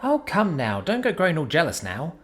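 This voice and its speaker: A brash young woman who mocks and lectures her friend in a raised, bouncy voice, as a man reads her lines. She talks fast and blunt and never softens her point. Faint music sits behind her. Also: fairly high pitch, huge pitch swings, voice pushed up. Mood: teasing. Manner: chatty, mocking, blunt.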